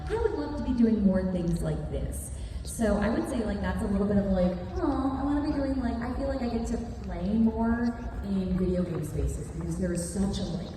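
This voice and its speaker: A woman voice actor talking candidly on a convention panel, joking with quick asides. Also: mid-range voice, expressive, dark tone. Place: large convention hall. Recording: echoey hall from far off, noisy.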